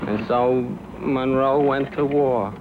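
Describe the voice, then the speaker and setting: A man's voice: mid-range voice, fairly even intonation, slow, narrow, tinny audio. A man narrating a cartoon like a storyteller, on a noisy old film soundtrack.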